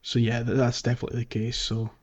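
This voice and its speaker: A man co-hosting a podcast, casually discussing a video game in a halting, thinking-aloud way. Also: medium pitch, monotone, darkish tone. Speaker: podcast co-host. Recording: slightly dull, lo-fi podcast audio.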